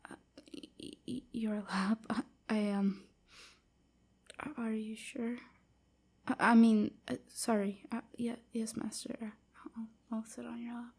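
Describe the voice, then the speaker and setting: Woman acting as timid vampire slave, anxious, apologetic, hushed and stammering, slow pace, flat intonation, intimate audio roleplay. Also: on the high side, heavily acted. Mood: flustered. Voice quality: soft, breathy, often whispery. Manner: hesitant, stammering, hushed and breathy.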